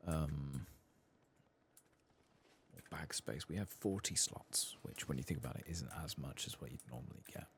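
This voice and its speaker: A man speaking softly and at times whispering in ASMR gameplay commentary, murmuring and musing to himself close to the microphone. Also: rumbling low, moderate pace, gravelly, a little hiss or hum. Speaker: host of a gaming ASMR channel.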